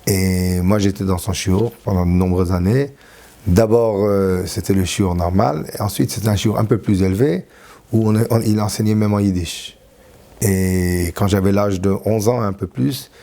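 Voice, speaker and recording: Gravelly-voiced French rabbi in his sixties. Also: deep voice, mellow. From France. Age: 60s. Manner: halting, with many fillers and restarts. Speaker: rabbi interviewed. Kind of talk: reminiscing interview.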